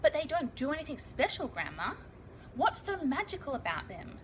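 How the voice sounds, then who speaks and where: Woman as an excitable, high-voiced young schoolboy. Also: normal speed. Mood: pleading, puzzled. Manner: excitable, earnest, childlike. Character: eager young schoolboy who loves basketball. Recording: dull lo-fi sound, faint noise.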